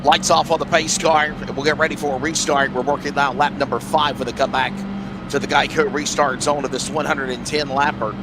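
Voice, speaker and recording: A man doing play-by-play for an online race broadcast, his voice hoarse and raspy, rattling off the running order. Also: medium pitch, little pitch movement. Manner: rapid rundown of race order. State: hoarse from allergies. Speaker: play-by-play commentator. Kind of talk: sim racing broadcast commentary. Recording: noisy track sound behind.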